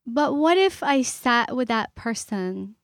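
A middle-aged woman from Iran, a guest speaking earnestly and thoughtfully with pauses and fillers. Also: fairly high pitch, expressive, no echo, clean studio sound. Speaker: author and guest. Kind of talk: reflective interview talk.

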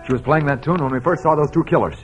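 An actor playing an anxious, hot-headed rancher with an urgent manner in an old radio Western. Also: male voice, on the high side, dull audio, soft background music, lightly acted. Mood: impatient, worried. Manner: urgent, hurried. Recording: noisy old radio recording.

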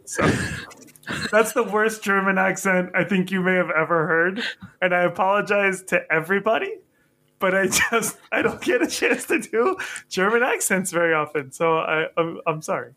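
A man's voice: high-pitched, animated, normal speed, bright, forceful tone. A man hosting a podcast, telling rambling self-mocking stories in casual chat and laughing often. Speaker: podcast host.

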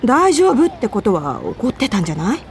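An actress playing a friendly, tentative young senior coworker in a Japanese radio drama. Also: female voice, a little high, huge pitch swings, brisk, slight background noise. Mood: friendly, probing.

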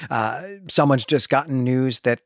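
A man co-hosting a podcast, retelling and analysing a story in a measured, explanatory way. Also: medium pitch, fairly even intonation, no room sound, clean studio sound. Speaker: podcast co-host.

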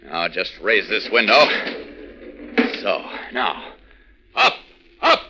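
A man's voice: lowish voice, huge pitch swings, slow, very noisy, heavily acted. A man acting an urgent, brave reporter who is secretly a superhero, in 1940s radio serial style. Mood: resolute.

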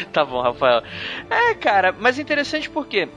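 A man's voice: high voice, huge pitch swings, slow, bright, forceful tone, dull audio, soft background music. A man co-hosting a podcast, chatting casually in a skeptical, reflective and teasing way. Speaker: podcast co-host. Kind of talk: casual chat.

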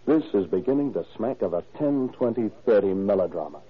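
An actor playing a wisecracking, skeptical newspaper reporter in a classic radio drama. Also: male voice, on the low side, animated, fairly quick, breathy edge. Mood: sardonic. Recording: muffled, tinny old radio broadcast.

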